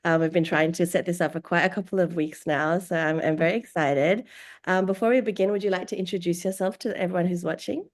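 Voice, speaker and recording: A woman hosting an interview in a warm, encouraging way, sprinkling her speech with Arabic phrases. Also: on the low side, steady tone, quick pace. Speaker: host. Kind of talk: casual interview talk.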